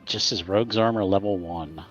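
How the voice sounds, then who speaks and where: A player in a tabletop game, speaking softly and apologetically. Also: low voice, normal speed. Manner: soft-spoken and apologetic. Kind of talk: casual game talk. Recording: dull lo-fi mic.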